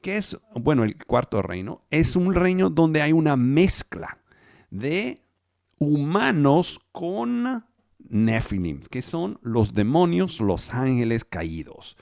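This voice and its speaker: A man teaching a live online Bible study in Spanish, which is not his first language, explaining scripture step by step and pausing on fillers. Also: a little high, sing-song, gravelly, a little mellow, no room sound, clean studio sound. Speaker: preacher.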